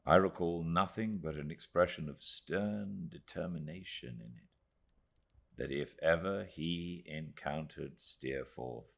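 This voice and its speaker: A British man reading a literary audiobook in measured, dramatic phrases with deliberate pauses. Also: very deep voice, gravelly. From Britain. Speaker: audiobook narrator.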